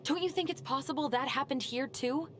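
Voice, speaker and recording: A woman's voice: sits high, brisk, a little hiss or hum, natural voice. An actress playing a troubled, intense young woman in a video game, speaking with earnest emotional weight. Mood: pleading, insistent. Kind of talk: naturalistic video game cutscene acting.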